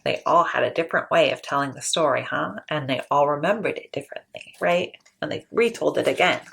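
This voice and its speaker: A woman in her thirties hosting a children's storytime, reading and talking in an expressive, deliberate way. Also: low voice, vocal fry, slight background noise, low-quality recording. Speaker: library storytime host. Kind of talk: children's picture book read-aloud and storytime talk. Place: small room at home, indoors.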